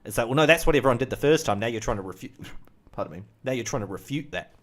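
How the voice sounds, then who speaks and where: A man's voice: mid-range voice, animated, very fast. A young man with a New Zealand accent, rambling through a casual monologue with lots of fillers and asides. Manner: chatty, full of fillers and asides. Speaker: vlogger.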